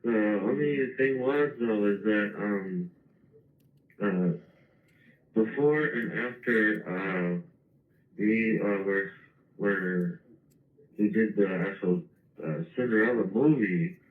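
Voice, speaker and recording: Man, low voice, hesitant interviewee, echoey phone-quality recording. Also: slow, pauses often, far from the mic. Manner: hesitant, many fillers. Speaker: interviewee in played clip. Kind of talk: interview answers.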